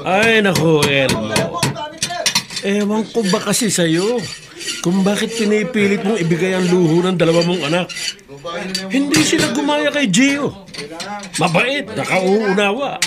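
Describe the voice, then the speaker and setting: An actor playing a blunt, exasperated middle-aged friend with a Filipino accent, scolding in colloquial radio drama style. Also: male voice, high-pitched, measured pace, pauses often, over loud music.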